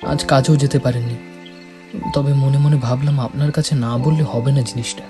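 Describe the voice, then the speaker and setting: A man's voice: medium pitch, steady tone, moderate pace, mellow, natural voice. A man playing a naive, anxious village youth, speaking earnestly and respectfully in an audio horror drama. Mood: anxious, pleading. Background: music.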